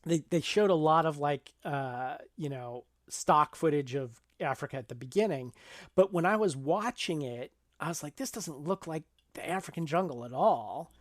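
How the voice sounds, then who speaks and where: A man co-hosting a casual film discussion podcast, explaining things in a halting way. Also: on the high side, lively intonation, no echo, clean studio sound. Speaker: podcast co-host.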